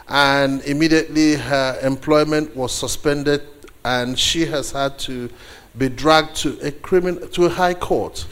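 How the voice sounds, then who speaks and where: A man with a Nigerian accent, a native Igbo speaker, preaching a sermon to a congregation as a guest, deliberately, with pauses and repeated phrases for emphasis. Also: a little high. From eastern Nigeria.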